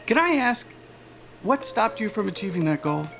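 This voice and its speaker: Animated, creaky-voiced affable corporate man. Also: high voice, normal speed, over music, exaggerated voice. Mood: hesitant, confiding. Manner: jovial, chuckling, hesitant, smoothly corporate. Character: affable, chatty male corporate executive interviewer. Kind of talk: naturalistic audio drama acting.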